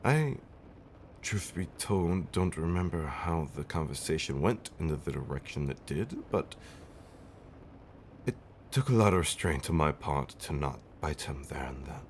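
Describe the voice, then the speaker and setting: Deep-voiced, theatrical male vampire played by a man. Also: animated, measured pace, slight background noise. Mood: wistful, fond. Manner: theatrical, old-fashioned wording, teasing, dramatic swells and whispers. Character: affectionate, theatrical centuries-old male vampire husband. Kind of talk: ASMR roleplay storytelling.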